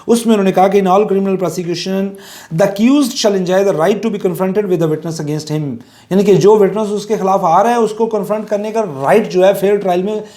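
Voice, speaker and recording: A middle-aged man with a Pakistani accent and a soft, mellow tone, pitched a little high. He lectures informally, explaining step by step in a conversational way and slipping English legal terms into his speech. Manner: explanatory, conversational, illustrating with everyday examples. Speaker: law lecturer.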